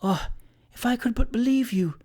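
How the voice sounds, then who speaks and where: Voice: mid-range voice, fairly quick, darkish tone, natural voice. A narrator voicing a coquettish, scheming young maidservant.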